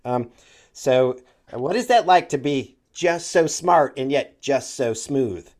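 A man co-hosting a podcast, introducing a guest in a halting, filler-heavy way with playful wordplay. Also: mid-range voice, darkish tone. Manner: hesitant, full of "uh" fillers, wordplay. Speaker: podcast co-host. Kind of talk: casual podcast chat.

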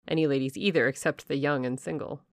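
A woman's voice: deep voice, steady tone, quick pace, no room sound, studio quality. A woman doing a blunt male footman. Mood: plain.

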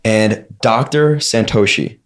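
A man's voice: fairly low pitch, takes it slowly. A young man hosting a podcast, asking questions in a jokey, easygoing way. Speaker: podcast host. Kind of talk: casual podcast chat.

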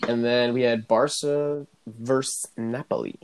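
A man's voice: mid-range voice, fairly even intonation, a little slow, a little mellow, clear, resonant tone. An American man hosting a sports podcast, chatting casually with friends. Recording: noticeable background noise, clicks.

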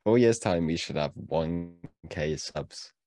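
A man's voice: sits low, slow, dark tone. A man in his twenties chatting casually as a podcast co-host. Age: 20s.

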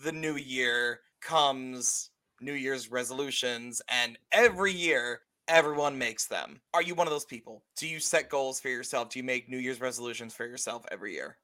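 A man's voice: medium pitch, moderate pace, few pauses, pushed and bright. A man of about thirty co-hosting a podcast in casual chat, swearing freely and acting out his thoughts as he tells stories. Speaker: podcast co-host.

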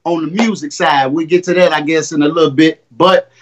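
A man in his fifties speaking with a somewhat raised pitch. He talks casually and boastfully, full of street slang, as an interview guest. His voice comes through a makeshift mic rather than a studio setup. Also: fairly even intonation, a little fast, highs cut off. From Indiana, US. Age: 50s. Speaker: guest, rapper and coach. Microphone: makeshift mic, not a studio one.

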